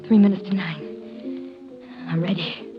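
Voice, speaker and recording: A woman playing a brisk, cool young lady of a wealthy house in an old-time radio drama. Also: mid-range voice, natural voice. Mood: cool, resolved. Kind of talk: 1940s radio acting. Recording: muffled old radio recording, music behind.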